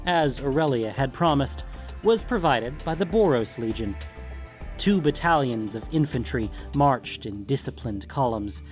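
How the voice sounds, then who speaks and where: A man's voice: mid-range voice, animated, a little slow. A man reading scripted fantasy audiobook narration, with music playing behind him.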